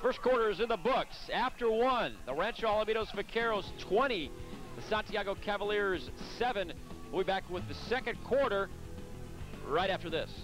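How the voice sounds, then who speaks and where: Upbeat man calling high school football. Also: lively intonation, over music, low-quality recording. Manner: brisk, upbeat play-calling. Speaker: play-by-play announcer. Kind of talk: local cable sports commentary.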